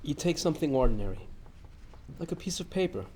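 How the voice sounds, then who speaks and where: Mellow, precise male accountant. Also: on the high side, big pitch swings, fast. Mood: measured, earnest. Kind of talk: sitcom table read, confessional monologue. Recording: faint background noise, live room.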